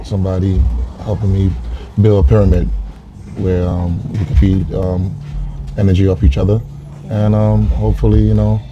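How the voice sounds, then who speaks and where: A man in his forties from Queens talks over dinner in a low, flat, level voice, pausing often and reaching for fillers as he thinks. Restaurant chatter and noise fill the background clearly. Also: dull audio. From Queens, New York. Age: 40s. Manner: hesitant, many filler pauses. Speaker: dater on a blind date. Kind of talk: casual dinner conversation.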